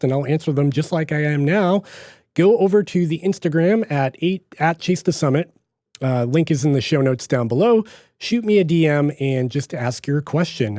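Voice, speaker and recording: A man's voice: fairly high pitch, mellow, no echo, clean studio sound. A young man with a slight Boston accent, hosting a solo podcast in a chatty, rambling way with frequent self-corrections. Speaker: podcast host. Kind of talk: casual solo podcast monologue.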